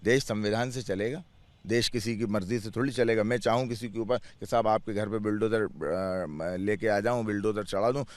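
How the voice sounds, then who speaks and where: A man's voice: lowish voice, normal speed, no room sound. A man chairing a clerics' board answers interview questions earnestly, restarting words and repeating phrases.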